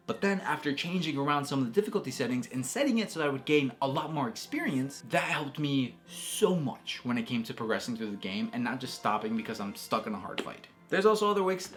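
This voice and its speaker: Casual, enthusiastic game reviewer over music. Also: medium pitch. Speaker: video game reviewer and video host. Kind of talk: casual, enthusiastic video essay commentary. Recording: music playing under the voice. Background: background music.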